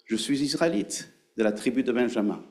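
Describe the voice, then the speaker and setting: A man preaching a sermon in a conversational, halting way, with frequent fillers. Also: medium pitch, animated, darkish tone.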